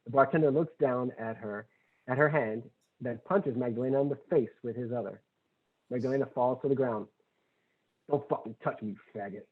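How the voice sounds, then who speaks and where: A man's voice: mid-range voice, fairly quick. Guy reading out script action lines at a table read, mid voice, thin phone-like sound. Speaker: stage directions reader. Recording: tinny phone-like audio.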